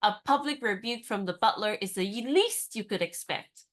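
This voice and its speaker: A woman's voice: mid-range voice, lively intonation, lightly acted. A woman playing a teasing young lady's maid. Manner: brisk, teasing and knowing. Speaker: actor in radio play. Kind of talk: radio play acting.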